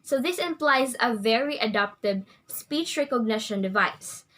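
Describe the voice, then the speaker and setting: A woman's voice: fairly high pitch, lively intonation, normal speed, fairly bright tone. A young woman reading her part of a class report from prepared text. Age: young adult. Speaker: student presenter.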